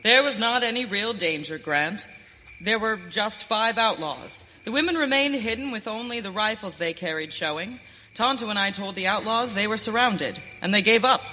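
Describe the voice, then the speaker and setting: An actor playing a calm, righteous, commanding masked western hero in a live radio drama. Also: medium pitch, normal speed, a little hiss or hum. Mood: calm, assured. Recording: reverberant hall.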